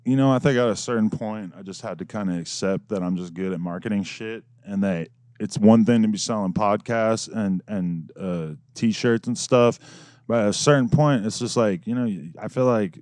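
A man talking casually and rapidly in a studio interview, rambling and profane, often laughing. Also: fairly low pitch, a little mellow, no room sound. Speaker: podcast host being interviewed.

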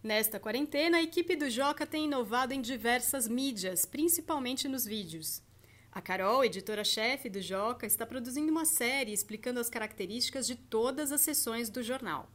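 A woman podcast host and journalist reading a news roundup and interviewing a guest in a steady, clear presenter delivery. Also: a little high, moderate pace, soft background music.

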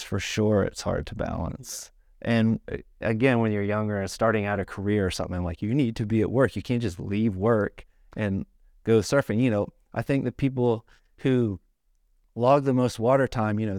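A man's voice: medium pitch, no room sound, studio quality. A middle-aged man talking casually, rambling with lots of "like" and "you know.". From South Carolina, born in Hawaii. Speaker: podcast guest, surfboard shaper. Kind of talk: casual conversation.

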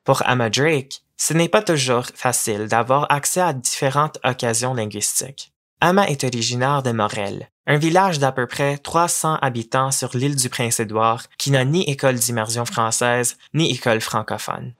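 A man's voice: mid-range voice, moderate pace, fairly bright tone. Man reading formal narration. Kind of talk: scripted narration.

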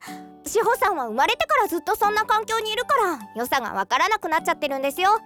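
A woman's voice: extremely high pitch, sing-song, racing, pushed and bright, soft background music, heavily acted. An actress in a radio drama playing a dreamy, romantic young woman who gushes in polite, girlish speech. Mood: dreamy, gushing. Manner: gushing, polite. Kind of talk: Japanese radio drama acting.

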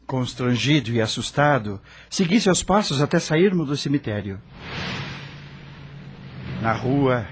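Anguished male ghost, animated and high. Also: a little hiss or hum. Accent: Brazilian. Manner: dramatic, emotional delivery. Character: anguished male rock star's ghost, frightened and lost. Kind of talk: first-person radio drama narration. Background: background music.

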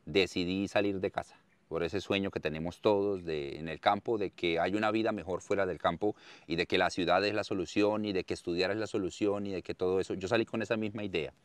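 A man in his thirties with a Santander Colombian accent speaks in a very low, smooth and resonant voice. He tells his life story earnestly and expressively, often repeating words for emphasis. The recording is close and dry. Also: fairly even intonation, fast talker, dry recording. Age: 30s. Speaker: interviewee, farmer and content creator. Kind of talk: conversational storytelling interview.

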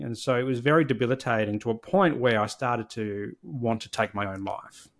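A man, a podcast guest candidly and reflectively telling his personal story. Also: mid-range voice. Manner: candid, reflective, with frequent restarts.

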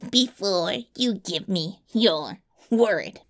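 A woman's voice: mid-range voice, animated, drawn-out words. A woman voicing a small, malicious male dwarf in a fairy tale, boastful and sly, with a taunting, mock-courtly drawl. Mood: mocking, menacing. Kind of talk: fairy tale storybook reading.

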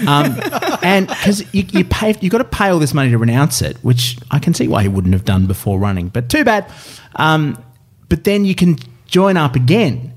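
A man's voice: fairly high pitch, moderate pace. A man co-hosting a podcast, chatting and joking in a wry, casual way about politics. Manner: wry, joking, lively delivery. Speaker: podcast co-host. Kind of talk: casual political comedy chat.

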